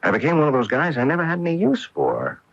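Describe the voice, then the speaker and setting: Husky, bitter golfer husband. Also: male voice, on the high side, wildly swooping pitch, fast talker, full character voice. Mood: rueful, candid. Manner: hesitant, rueful, self-deprecating. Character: bitter, defensive former pro golfer husband with a guilty secret. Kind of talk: radio drama acting. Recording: muffled old AM radio broadcast.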